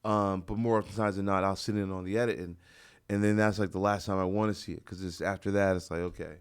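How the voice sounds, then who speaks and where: A man with a clear, smooth, fairly low voice and an American accent. He hosts a talk radio show in a casual, conversational way, full of slang and joking. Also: steady tone, fast talker. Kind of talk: casual chat interview. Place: radio studio.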